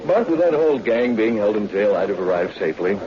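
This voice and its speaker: A man's voice: on the low side, big pitch swings, fast, a crowd in the background. An American actor playing a confident, wry male newspaper reporter in 1940s radio adventure drama. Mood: wry, curious. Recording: dull, noisy old radio recording.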